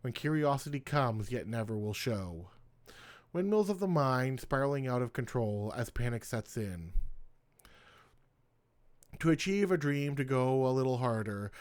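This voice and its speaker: A man's voice: medium pitch, a little mellow. A man hosting his own poetry channel, reading his poems aloud in a casual way and starting lines over when he stumbles.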